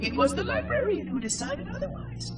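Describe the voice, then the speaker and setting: High synthetic robot monitor, ancient, fussy, dutiful, curious, precise formal diction, video game narration, distant over music. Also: male voice, huge pitch swings, brisk, full character voice. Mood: earnest, grave. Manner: precise, formal, theatrical enunciation. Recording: distant, echoing, music underneath.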